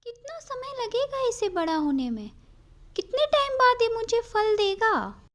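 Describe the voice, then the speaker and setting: A woman's voice: extremely high pitch, big pitch swings, a little slow, fairly bright tone, faint music behind, pitched above the performer's own voice, heavily acted. A woman voicing an impatient, eager little boy in a children's story, with a childlike, demanding manner. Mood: wondering.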